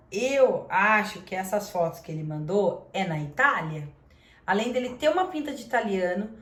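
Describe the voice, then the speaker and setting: A woman's voice: medium pitch, a bit bright. A Brazilian woman hosting a channel, telling a story straight to her viewers in an indignant tone and reading out messages. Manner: indignant, talks straight to the audience. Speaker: channel host. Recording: roomy echo.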